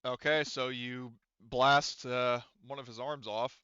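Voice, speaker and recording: A man with a bright, smooth voice works as a game master, talking players through a tabletop game. He explains rules casually and thinks aloud, in a close, dry recording that sounds slightly dull. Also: mid-range voice, fairly even intonation, slow, dry recording.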